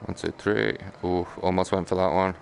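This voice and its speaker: A man's voice: deep voice, steady tone, moderate pace, vocal fry, music in the background. A British man giving casual live gaming commentary, grumbling sarcastically with mild swearing over background noise. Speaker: gaming streamer.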